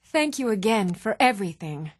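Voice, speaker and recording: An actress playing an even-toned, earnest young townswoman in a fantasy video game, with measured delivery. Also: mid-range voice, breathy edge, no echo, studio quality. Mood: gracious, festive. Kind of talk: video game character voice lines.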